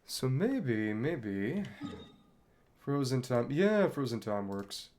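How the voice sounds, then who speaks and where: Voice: mid-range voice, very animated, takes it slowly, a little mellow, a little hiss or hum. A game streamer chatting casually over gameplay, thinking aloud in a wry way. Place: indoors at a computer.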